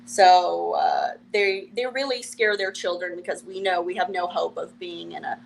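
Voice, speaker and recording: A young woman from Ukraine speaks with a Russian accent. She is a comedian guest in a relaxed conversation, wry and joking. She laughs often as she talks. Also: fairly low pitch, normal speed, creaky, slight background noise, rough recording. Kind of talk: casual interview chat.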